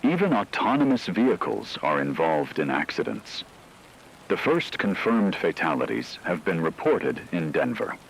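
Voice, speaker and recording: A man playing a grave radio news anchor, reading a scripted crisis bulletin in a measured, formal, serious way. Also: low voice, sing-song, gravelly, a little hiss or hum, full character voice, rough recording. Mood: grave, urgent.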